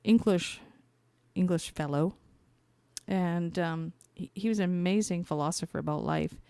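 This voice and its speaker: A woman with a mellow, fry-laden voice, recorded close and very dry. She hosts a podcast and speaks in a loose, meandering spiritual monologue. Her delivery is conversational, working out thoughts aloud and pausing to hunt for the next word. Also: lowish voice, sing-song, no echo. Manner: conversational, thinks aloud, pauses to search for words. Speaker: podcast host. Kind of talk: casual, rambling spiritual monologue.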